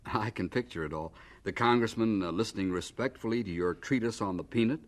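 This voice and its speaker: An actor playing a respectful, slightly incredulous interviewer in an audio drama. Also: male voice, on the low side, brisk, lightly acted. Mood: curious. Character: curious male interviewer, respectful, a little incredulous.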